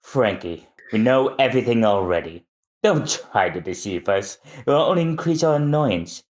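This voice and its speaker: A man voicing a calm, cold male secret agent who speaks in clipped, menacing lines. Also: medium pitch, normal speed, natural voice.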